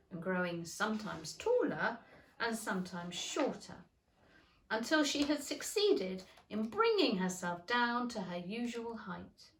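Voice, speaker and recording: A woman's voice: mid-range voice, vocal fry, distant mic. A woman narrating a children's storybook expressively, like a teacher reading aloud. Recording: roomy, echoing room.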